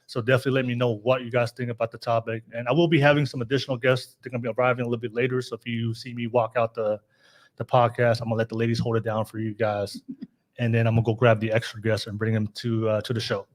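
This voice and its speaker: A man's voice: medium pitch, flat delivery, quick pace. Upbeat laughing man hosting a podcast. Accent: Californian. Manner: upbeat, laughs often, many fillers and restarts. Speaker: podcast host. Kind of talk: casual live podcast hosting.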